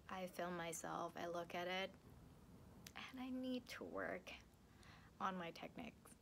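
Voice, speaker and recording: A young woman from Thailand with a Thai accent, chatting playfully to the camera from her sofa about books, with lively hand gestures. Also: on the low side, slight background noise. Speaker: book vlogger. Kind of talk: casual vlog to camera. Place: living room, seated on a sofa. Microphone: camera in front.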